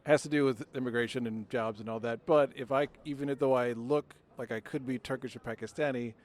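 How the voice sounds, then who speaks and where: An American man speaking in a matter-of-fact, slightly halting way, recorded outdoors in a city. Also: medium pitch, steady tone. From United States.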